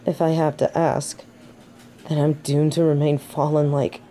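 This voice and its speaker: A woman's voice: deep voice, flat delivery, unhurried, mellow. A woman playing a snobby, centuries-old fallen angel in denial, speaking in formal, pompous phrasing in a scripted audio roleplay. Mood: defensive, distraught. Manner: formal, precise, pompous diction. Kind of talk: scripted character roleplay monologue.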